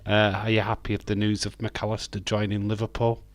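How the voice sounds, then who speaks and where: A man with a lowish, slightly croaky voice hosts a live stream in a loose, rambling chat. He fills gaps with hesitant ums and uhs. The sound is dry and close. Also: monotone, fairly quick, no echo. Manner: rambling, friendly, with frequent hesitant fillers. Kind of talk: casual live watch-along chat, reading viewer comments.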